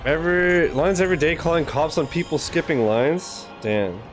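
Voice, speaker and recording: Slightly high-voiced man in slangy reaction chat. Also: animated, moderate pace. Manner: slangy, sweary, rambling. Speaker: reaction video host. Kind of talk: casual reaction chat with viewers. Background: music from the game.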